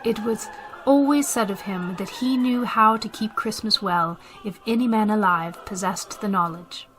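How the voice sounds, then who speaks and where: A woman's voice: mid-range voice, moderate pace, a bit bright, a little hiss or hum, poor audio. A woman narrating a radio drama as a scripted storyteller, with emphatic, theatrical phrasing.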